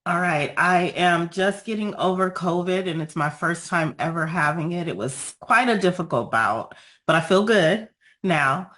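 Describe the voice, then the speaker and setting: Raspy-voiced woman giving an earnest talk. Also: fairly low pitch, fairly bright tone. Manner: measured, earnest, frequent ums and pauses. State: raspy voice after illness. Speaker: guest speaker, nonprofit founder. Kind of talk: presentation over video call with slides.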